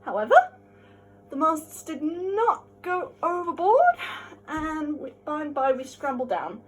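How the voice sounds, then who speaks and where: High-pitched woman giving a theatrical book reading. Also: sing-song, measured pace. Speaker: amateur reader. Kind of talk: theatrical dramatic reading of a classic novel.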